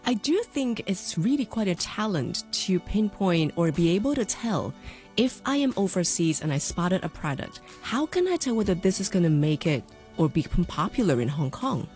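A woman's voice: lowish voice, sing-song, normal speed, a little mellow, soft background music. A woman hosting an interview, chatty and encouraging, with frequent affirmations. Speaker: host.

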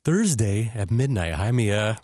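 Brief: male host in his forties, low voice, teasing banter, dry close mic. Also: very animated, a little mellow, dry recording. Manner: friendly, teasing, quick questions. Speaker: radio host. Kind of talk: casual interview.

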